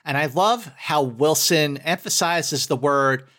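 A man's voice: on the high side, unhurried, sharp tone. A middle-aged man speaking as a guest critic in a podcast discussion, effusive and emphatic, often repeating words to drive a point. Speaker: guest film critic and entertainment journalist.

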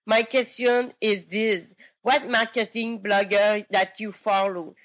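Slow-speaking woman caller from Quebec. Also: medium pitch, fairly even intonation. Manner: slow, careful non-native phrasing. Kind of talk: recorded listener question.

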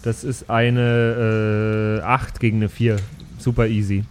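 A man's voice: lowish voice, flat delivery, takes it slowly, a little mellow, a little hiss or hum. Casual man playing a tabletop game. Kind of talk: casual improvised role-play chat.

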